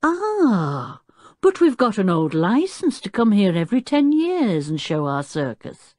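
A woman's voice: medium pitch, sing-song, a little slow, darkish tone, dry recording, studio quality, pitched above the performer's own voice. A woman voicing a confident, folksy old male circus owner in a children's storybook. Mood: firm, dismissive. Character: fierce-looking but good-natured old circus-owner grandfather.

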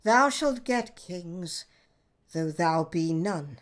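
An ominous witch played by a woman chants her prophecies very slowly. She speaks in the heightened manner of classical radio drama. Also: fairly low pitch, lively intonation. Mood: ominous hailing.

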